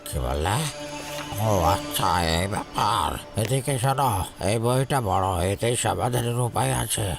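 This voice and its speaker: Gravelly ghost boss. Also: male voice, on the low side, expressive, measured pace. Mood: calm, instructing. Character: old male ghost boss, bookish and commanding. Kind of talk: animated cartoon dubbing. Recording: music behind the voice.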